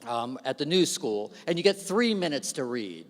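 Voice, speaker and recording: A man giving a humorous, self-deprecating speech at an awards ceremony, telling stories with lively asides into a stage microphone. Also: mid-range voice, normal speed. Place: large event hall. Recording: long reverb of a large hall.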